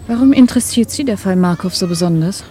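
Actress as guarded woman with dark, mellow voice. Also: medium pitch, expressive, quick pace, slight background noise, natural voice. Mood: wary. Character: guarded, curt woman, wary of questions. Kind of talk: German radio play acting.

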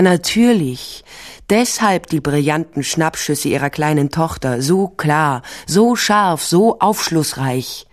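A woman's voice: fairly low pitch, darkish tone. An actress playing a determined, probing female private detective and ex-policewoman in a German radio crime drama. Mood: absorbed, reflective. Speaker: lead actor and first-person narrator.

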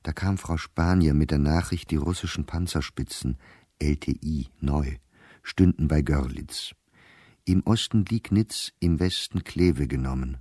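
An actor playing a sober, weary male diarist in wartime, reading his entries in a measured, deliberate way for a radio play. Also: extremely low pitch, dark tone, no room sound, clean studio sound. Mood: tense reporting. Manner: measured, deliberate, clipped diary phrasing.

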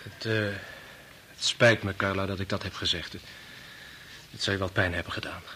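An actor playing a stubborn, persuasive bachelor in a Dutch radio play, wry, circling his point, with a slightly husky voice. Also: low voice, steady tone, moderate pace, bright, forceful tone, a little hiss or hum. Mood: apologetic. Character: idealistic unmarried man, teasing, stubborn, persuasive.

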